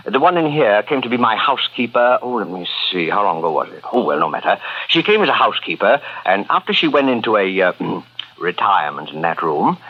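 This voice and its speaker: Sly old killer, muffled vintage radio. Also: male voice, on the low side, sing-song, fairly quick, slightly rough. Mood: smug, sinister. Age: older. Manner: leisurely, rambling, savoring murmurs and chuckles. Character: sly, gloating old male serial killer. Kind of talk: old-time radio horror acting.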